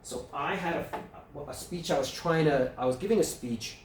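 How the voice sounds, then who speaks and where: A man's voice: fairly high pitch, normal speed. A man with a New York accent leading a public speaking workshop, talking casually and putting questions to the audience. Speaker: workshop presenter. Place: club meeting room. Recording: roomy, distant mic, faint room noise.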